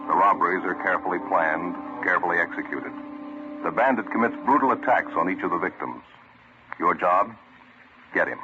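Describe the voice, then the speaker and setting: A grave American announcer, voiced by a man for vintage radio drama. His very low voice delivers punchy, commanding phrases over music. The sound is slightly muffled. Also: lightly acted. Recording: muffled old radio broadcast. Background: clear music.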